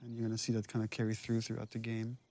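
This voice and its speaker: A man giving casual commentary as a creative lead, explaining scenes in halting, feeling-it-out phrases. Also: on the low side, monotone, darkish tone.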